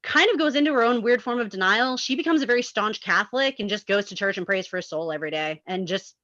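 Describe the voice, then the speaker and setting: A woman's voice: medium pitch, quick pace, pushed and bright, no echo, muffled recording. A woman in a casual group discussion, talking at length as a chatty, emphatic explainer. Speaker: panelist discussing a film and its source book.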